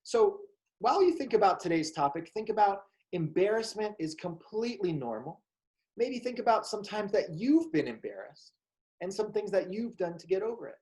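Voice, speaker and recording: Man, show host, slow, calm, encouraging, gentle kids' talk. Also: high voice.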